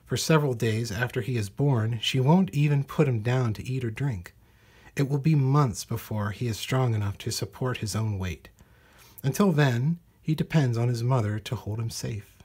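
A man reads a children's nature book aloud. His voice sits at a middling pitch with a noticeably breathy, husky grain. He phrases each sentence deliberately, as narration for young listeners. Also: darkish tone. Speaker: storybook reader. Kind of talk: read-aloud children's nonfiction storybook narration.

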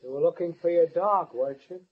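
A man's voice: a little high, fairly quick, husky, narrow, tinny audio. An actor playing a paranoid, menacing handyman in an old radio thriller, with a controlled, threatening manner. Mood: taunting. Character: menacing, paranoid handyman holding a woman captive. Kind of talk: 1940s-50s radio thriller acting. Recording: noisy old-time radio recording.

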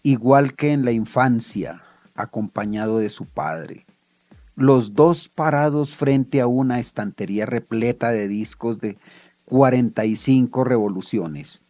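A man's voice: medium pitch, unhurried, warm tone, no echo. A man with a Colombian accent, a writer reading his own literary short story aloud.

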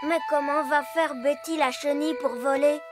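A woman voicing a friendly, inquisitive young elf boy in an animated series. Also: high-pitched, moderate pace, over loud music, close to an everyday voice.

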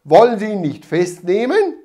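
A man voicing a cheerful, cheeky young puppet hero in a slightly raised, bright voice. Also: high-pitched, huge pitch swings, normal speed, pitched above the performer's own voice, full character voice.

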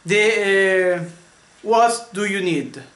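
A man in his thirties hosting a home cooking lesson, halting and searching for English words. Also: sits high, a bit bright. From Vietnam. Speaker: cooking channel host. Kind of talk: casual cooking demonstration. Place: home kitchen, indoors. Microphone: camera mic, often at arm's length or across the room. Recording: echoey kitchen with faint noise.

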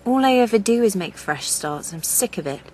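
A woman's voice: medium pitch, expressive, moderate pace, a little mellow, a little hiss or hum, natural voice, poor audio. An actress playing an earnest, stubborn, spiritual woman with an English accent in a naturalistic radio drama. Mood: fed up. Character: earnest, wounded, stubborn spiritual woman fighting for her land.

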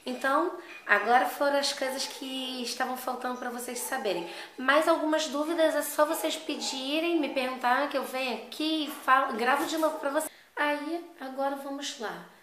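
A woman's voice: high-pitched, normal speed, fairly bright tone. A Brazilian woman in her thirties with a Rio de Janeiro accent, chatting casually and emphatically to the camera as a vlogger. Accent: Rio de Janeiro (carioca) Brazilian Portuguese. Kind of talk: casual personal chat to camera. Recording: echoey room, faint background noise.

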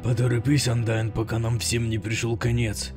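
A man's voice: mid-range voice, flat delivery, moderate pace, close to an everyday voice. A man playing a wary male monster guard with a plain, uneasy manner in a comic dub. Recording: loud music under the voice.

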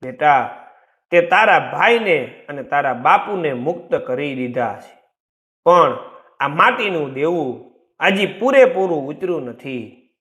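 A man voicing a tender, suffering, self-sacrificing village mother in a story, speaking slowly and solemnly. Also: on the high side, lively intonation, fairly bright tone, reverberant.

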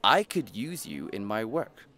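A man's voice: fairly low pitch, big pitch swings, unhurried, pushed and bright, heavily acted. An actor playing a cynical, greedy rich businessman in an audio play, mocking and slickly persuasive. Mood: slick persuasion. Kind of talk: scripted audio play acting.

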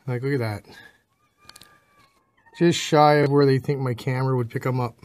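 A man's voice: mid-range voice, steady tone, normal speed, a little mellow. A man talks in a mid-range voice. He sounds like a hobbyist giving an informal how-to, plain-spoken and offhand, speaking from behind a handheld camera. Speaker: amateur radio hobbyist presenting a how-to video. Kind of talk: casual hands-on demonstration. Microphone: handheld camera mic, operator behind camera.

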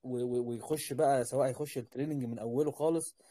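A man's voice: medium pitch, fairly even intonation, fast talker, mellow, no echo. A man with an Egyptian Arabic accent giving casual advice on a group voice call, rambling and repeating his words. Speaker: host, doctor advising junior colleagues. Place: online voice call.

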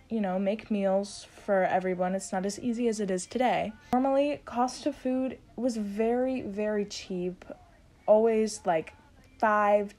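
Young female student, medium pitch, warm dark tone, casual explanatory delivery. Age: young adult. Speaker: student storyteller. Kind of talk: informal explanatory oral history.

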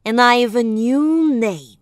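A teenage girl back from hell, played by a woman, her voice high and her speech very slow and deliberate. She sounds earnest and emotional, and the recording is dry and close. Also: clean tone, dry recording.

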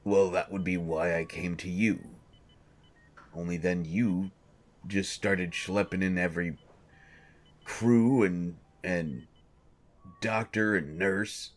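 Man voicing a grumpy male coach, very deep voice, husky, slow grumbling delivery. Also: fairly even intonation. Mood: uneasy. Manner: grumbling, exasperated complaints. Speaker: voice actor. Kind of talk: audio drama monologue.

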